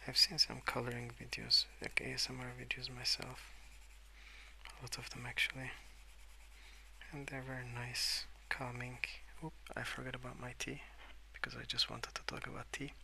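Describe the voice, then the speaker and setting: A man speaking softly and hesitantly in a rambling ASMR style, often slipping into whispers at a desk indoors. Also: mid-range voice, completely flat delivery, moderate pace, gravelly, a little hiss or hum, low-quality recording. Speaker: host of a colouring ASMR channel. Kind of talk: soft-spoken rambling ASMR. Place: at a wooden desk indoors, with pencil sounds.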